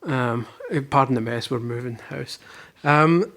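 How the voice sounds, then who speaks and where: A man's voice: medium pitch, unhurried, fairly bright tone. A young Scottish man chatting casually at home as he unboxes, with dry jokey asides. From Scotland. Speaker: vlogger unboxing a baby box. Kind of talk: casual chat. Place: living room at home.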